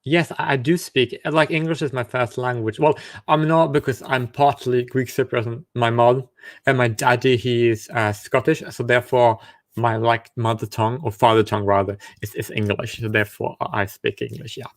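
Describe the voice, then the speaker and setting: A man's voice: medium pitch, fairly even intonation, normal speed. A man hosting casual video chats with strangers, asking curious questions and often stumbling and restarting his sentences. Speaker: online video chat host.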